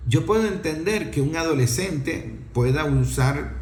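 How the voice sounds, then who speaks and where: A man's voice: a little high, lively intonation, a little slow, reverberant. A middle-aged man with a Venezuelan accent giving an impassioned opinion talk in an emphatic, scolding way, full of rhetorical questions.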